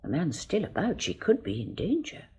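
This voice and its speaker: A teasing wife ribs her husband in a radio drama. Her voice is very breathy and husky, and it leaps about widely in pitch. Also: wildly swooping pitch, normal speed, darkish tone, full character voice. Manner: teasing, wry. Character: wry detective's wife.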